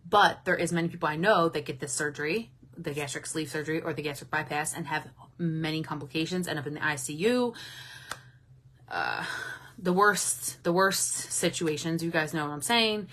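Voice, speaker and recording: A woman in her late thirties talks openly to her livestream viewers, chatting and answering their comments as they come in. Her voice is bright and forceful, sitting somewhat low for a woman. She is frank and swears now and then. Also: normal speed, slight background noise. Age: 30s. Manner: candid and chatty, swears freely, warm to commenters. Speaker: livestream host. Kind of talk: casual livestream chat reading viewer comments.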